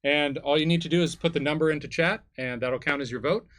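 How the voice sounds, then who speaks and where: A man's voice: fairly high pitch, fast, a bit bright. A man co-hosting a tabletop game stream, talking casually with guests and the online audience. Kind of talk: casual live-stream chat.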